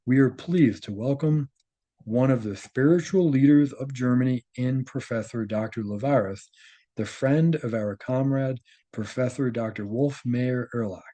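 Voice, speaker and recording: A man reading a text aloud and commenting on it informally, halting at times, correcting himself and checking in with the listener. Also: mid-range voice, dark tone. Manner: halting, self-correcting, often checks with "right?". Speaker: host reading and commenting on a translated article.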